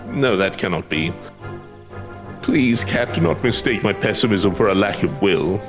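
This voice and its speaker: A man's voice: lowish voice, animated, a little slow, music in the background. A man voicing an elderly scholar in a fantasy game, earnest and anxious, with formal, archaic and hesitant speech. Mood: anxious, despairing. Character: elderly male scholar, courteous, earnest, anxious, devoted to research. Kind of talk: fantasy game dialogue read aloud.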